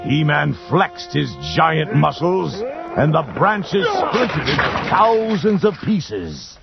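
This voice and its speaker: A man's voice: on the high side, very animated, takes it slowly, vocal fry, muffled recording. A man giving dramatic scripted storybook narration. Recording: band-limited old readalong tape with music.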